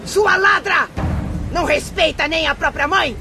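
A woman playing a harsh, abusive mother in a radio drama, scolding and shouting. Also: extremely high pitch, unhurried, music in the background. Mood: furious scolding.

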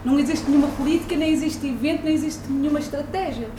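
European Portuguese woman, academic, high pitch, hesitant delivery, far from mic with noisy room. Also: fast, reverberant. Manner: hesitant, thinking aloud. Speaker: university lecturer. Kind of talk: public debate. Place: hall at a public debate.

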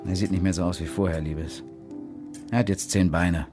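An actor playing an intense, obsessed police investigator in a German radio drama, with an urgent, insistent manner. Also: male voice, low voice, fairly even intonation, moderate pace, over loud music, lightly acted. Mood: grimly explaining.

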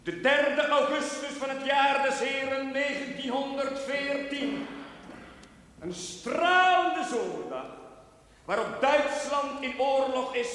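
Casting: earnest priest in a TV period drama, high-pitched slow solemn declamation, reverberant church. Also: male voice, fairly bright tone, heavily acted. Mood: solemn, fervent. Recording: echoey church hall with long reverb, faint noise.

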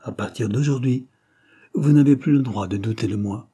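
Young storybook suitor, read by a man: earnest and respectful, formal phrasing, mellow husky voice. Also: medium pitch, moderate pace. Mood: ardent. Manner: sincere, formal, deferential.

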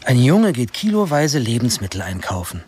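A man's voice: mid-range voice, big pitch swings, normal speed, darkish tone, a little hiss or hum, natural voice. An actor narrating a radio drama as a wry young man looking back on his troubled teens, with a dry, ironic manner. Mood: wry, reflective.

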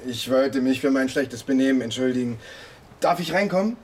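A man's voice: medium pitch, expressive, moderate pace, lightly acted. Actor as earnest male detective, faint background noise. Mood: smooth, courting. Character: male police detective, pushy, flustered, evasive. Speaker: radio drama actor. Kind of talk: naturalistic German radio drama dialogue.

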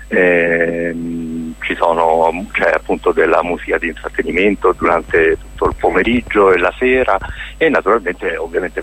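A man with a very low, husky voice speaks spontaneously in a radio interview. He is a festival director, talking in long, winding phrases and often pausing to find the right word. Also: a bit bright, low-quality recording. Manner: hesitant, discursive, searching for words with frequent verbal hedges.

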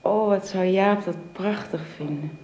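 A woman's voice: medium pitch, unhurried, darkish tone, a little hiss or hum, close to an everyday voice. An actress playing a tired, lovesick woman recovering in hospital, in a Dutch radio drama with a Dutch accent. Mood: pleased but weak.

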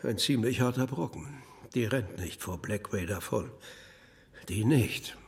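A man's voice: on the low side, animated, measured pace, darkish tone. A man playing a wry, gossipy small-town club regular in a naturalistic audio drama, laid-back in manner. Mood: wry, musing.

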